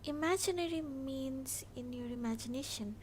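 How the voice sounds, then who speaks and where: A woman's voice: sits high, moderate pace, slight background noise. A woman with an Indian accent casually livestreaming a game, chatting and reading dialogue aloud. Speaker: game streamer.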